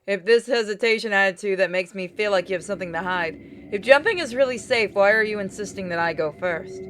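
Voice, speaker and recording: A woman's voice: mid-range voice, fast, fairly bright tone, a little hiss or hum. A woman giving a dramatic reading of visual novel game dialogue.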